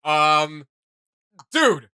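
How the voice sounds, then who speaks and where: A man co-hosting a reaction video, chatty and excitable, rambling with many restarts. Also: on the high side, very slow, smooth, dry recording, clean studio sound. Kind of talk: casual reaction chat.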